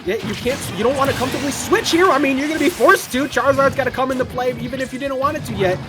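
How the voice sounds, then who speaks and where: A lively esports caster gives rapid live commentary on a fighting game match. The voice climbs and gets squeaky whenever the play turns exciting. Loud game music plays steadily behind. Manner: voice turns squeaky when hyped.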